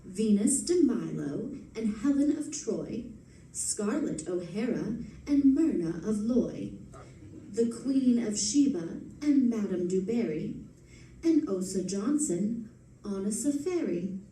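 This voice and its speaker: An actress playing a witty, affectionate, teasing society wife in the style of 1940s radio drama. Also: female voice, mid-range voice, expressive, takes it slowly, dark tone. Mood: playful teasing. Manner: teasing, arch delivery. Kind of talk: live recreation of 1940s radio acting. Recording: roomy stage recording from a distance.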